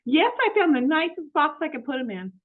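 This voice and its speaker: A woman's voice: high voice, sing-song, a little fast, pitched above the performer's own voice. A woman voicing a cheerful, literal-minded housekeeper in a storybook, earnest and innocently helpful. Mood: proud, helpful. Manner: earnest, chirpy, matter-of-fact. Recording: muffled narrow phone-like audio.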